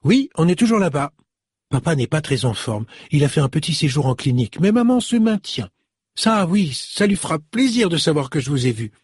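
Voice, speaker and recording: A man's voice: a little high, very animated, a little fast, husky, dry recording, clean studio sound, pitched above the performer's own voice. A man voicing a cheerful, chatty young female shop assistant in an audiobook. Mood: eager. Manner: chatty, eager, exclamatory.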